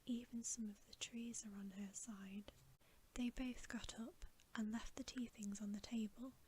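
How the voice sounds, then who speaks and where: A woman narrating a bedtime story as ASMR in a gentle, breathy, hushed whisper. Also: a little high, slight background noise, rough recording. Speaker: ASMR reader.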